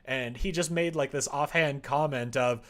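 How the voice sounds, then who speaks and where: A man's voice: medium pitch, moderate pace, fairly bright tone. Man, mid-range voice, casual livestream chat, rambling, thinking aloud, hesitant phrasing. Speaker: livestreamer making a visual novel. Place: indoors at home.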